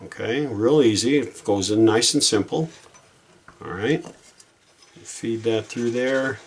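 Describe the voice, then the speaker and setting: A man's voice: mid-range voice, slow, breathy edge. A man giving a casual hands-on repair demonstration, thinking aloud in an informal, folksy way as he works. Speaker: repair hobbyist hosting a how-to video.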